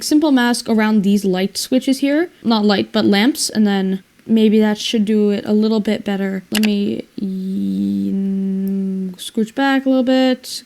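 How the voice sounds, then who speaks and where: A woman's voice: a little high, darkish tone. A woman narrating her video editing in a casual, rambling way, thinking aloud and exclaiming to herself. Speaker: video editor and creator narrating her screen work.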